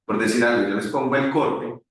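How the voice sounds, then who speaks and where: A man teaching at a university, talking in a halting way with many restarts during an online class discussion. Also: mid-range voice, fairly even intonation. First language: Spanish. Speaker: university lecturer. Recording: echoey room far from mic.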